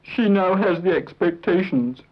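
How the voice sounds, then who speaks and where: A rueful British nephew narrates drily in a fairly high male voice. The sound is that of a dull old film soundtrack. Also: expressive, measured pace. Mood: rueful, dry. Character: rueful, deadpan nephew who lost his aunt's inheritance. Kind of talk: scripted first-person film narration, 1940s.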